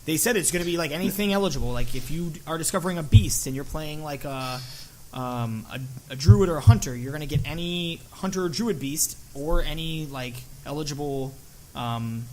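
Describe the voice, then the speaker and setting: Higher voiced guy on a podcast, gets hyped fast, swears a lot. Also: slight background noise, low-quality recording. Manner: excitable, exclaims and swears freely. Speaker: podcast co-host. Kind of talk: casual podcast chat.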